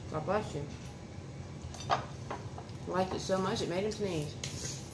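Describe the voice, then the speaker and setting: Low-voiced woman chatting at home. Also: takes it slowly. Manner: homey, talks to viewers and son while unwrapping food. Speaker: food reviewer vlogger. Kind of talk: casual chatty food tasting. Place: home dining room, indoors. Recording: echoey room with clear background noise.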